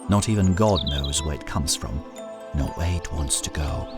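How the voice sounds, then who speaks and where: A man's voice: rumbling low, normal speed. A man voicing a wise older mother who gives a solemn folk warning in a storybook tale, grave and reverent. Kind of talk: storybook narration. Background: music.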